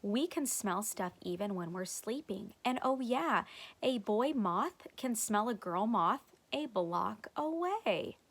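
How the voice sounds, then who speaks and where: Lady doing a cocky excitable little boy from a storybook, voice bouncing all over, emphatic. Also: medium pitch, takes it slowly. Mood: excited. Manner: eager, boastful, emphatic. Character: boastful excitable young boy proud of his nose.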